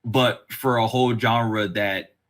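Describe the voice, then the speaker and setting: A man's voice: lowish voice, steady tone, slow, fairly bright tone. A man hosting a casual podcast chat, talking in a rambling way that circles around his points. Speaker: podcast host.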